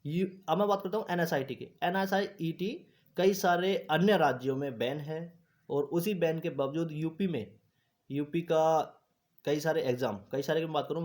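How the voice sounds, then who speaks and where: Man, fairly high pitch, informal explainer, instructive, repetitive check-in tags. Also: a little mellow. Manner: instructive, repeats points with frequent check-in tags. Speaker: host of an exam news channel. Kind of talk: informal explainer talk to exam candidates.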